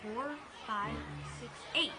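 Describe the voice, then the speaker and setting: A woman playing an excitable thirteen-year-old boy in a radio drama. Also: a little high, slow. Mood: excited, curious. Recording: music and crowd noise behind.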